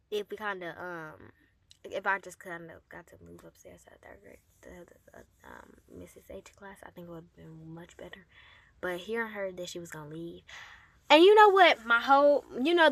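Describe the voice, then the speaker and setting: A woman telling a personal story casually to her audience, with an animated, emphatic and sassy manner. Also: on the high side, normal speed, no echo. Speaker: storyteller and host addressing an audience.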